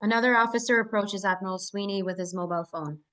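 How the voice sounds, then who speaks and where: A woman's voice: mid-range voice, fast, fairly bright tone. A woman narrator reading screenplay stage directions aloud at a live table read.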